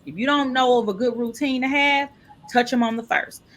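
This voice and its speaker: A woman's voice: a little high, brisk. A woman guest speaking candidly and animatedly in an interview about her own experience. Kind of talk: casual interview talk.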